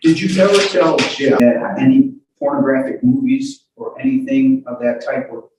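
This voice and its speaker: A man working as a lawyer, putting questions to a witness in a halting, apologetic way. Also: medium pitch, noisy background. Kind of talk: courtroom cross-examination. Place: indoor courtroom. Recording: echoey courtroom, distant mic.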